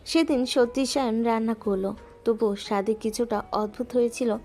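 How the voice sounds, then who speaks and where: A woman narrating a romantic audio story in a gentle, measured way, with soft music underneath. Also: a little high, fairly even intonation.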